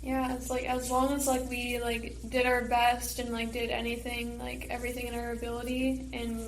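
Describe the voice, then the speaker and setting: A teenage girl answers casually in an interview, peppering her talk with "like". Her voice is slightly high and fairly flat in melody, recorded in an echoey room with faint noise. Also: normal speed. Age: teens. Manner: filler-heavy, frequent "like". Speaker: high school theater student guest.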